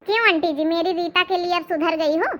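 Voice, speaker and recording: Woman voicing a bossy, very high-pitched young woman. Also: brisk, fairly bright tone. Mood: firm, friendly. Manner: scolding, assertive, theatrical. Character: bold, bossy young woman standing up to a mother-in-law. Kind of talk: cartoon comedy drama dialogue.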